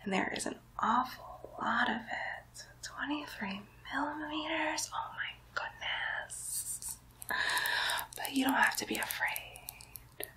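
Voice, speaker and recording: A woman's voice: sits high, sharp tone, full character voice, rough recording. A woman voicing a mischievous young demon girl posing as a nurse, whispering coaxingly and teasingly in an ASMR roleplay. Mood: soothing, sinister. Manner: slow, coaxing, teasing whisper with playful baby talk.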